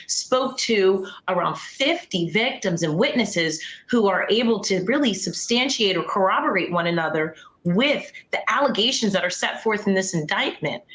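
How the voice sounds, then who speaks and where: A woman's voice: mid-range voice, a bit bright. A woman legal analyst talks through the case for a news audience in long, flowing explanations. Her remote feed sounds roomy and echoey. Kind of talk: news panel commentary.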